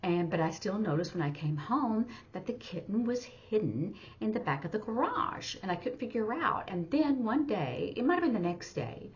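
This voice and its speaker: A woman's voice: medium pitch, very animated, moderate pace, hardly any pauses. A middle-aged woman teaching through a casual story, talking in a rambling, conversational way. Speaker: sign language teacher. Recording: slightly dull, lo-fi audio.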